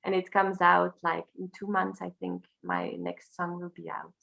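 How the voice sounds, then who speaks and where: A woman from Brussels with a Belgian French accent, a native French speaker talking casually as an interview guest in halting English, searching for words. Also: on the low side, steady tone, vocal fry, fairly bright tone, rough recording. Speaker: interview guest, singer and teacher.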